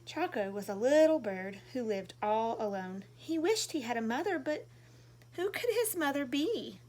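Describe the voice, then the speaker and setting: High, animated woman reading to children. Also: normal speed. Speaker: storytime reader. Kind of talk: animated read-aloud for young children.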